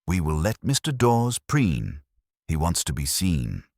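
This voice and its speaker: A male narrator plays a big, pedantic detective genius with a very deep voice. He speaks in pompous, formal commands with a lively rise and fall, as in a mystery audiobook. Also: unhurried, vocal fry, no echo, studio quality, heavily acted. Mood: imperious.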